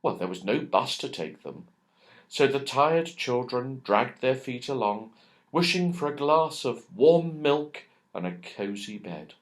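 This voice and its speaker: A man's voice: medium pitch, lively intonation, measured pace. A man narrating a children's storybook expressively, drawing out key words for emphasis. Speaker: audiobook narrator.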